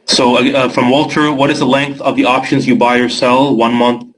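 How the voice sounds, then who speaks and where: A young Canadian man with a Canadian accent runs a live webinar and answers viewer questions in a loose, chatty way. His voice sits at a middle pitch, and his talk is full of fillers and quick restarts. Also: little pitch movement, fairly bright tone, a little hiss or hum, poor audio. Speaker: trading webinar host.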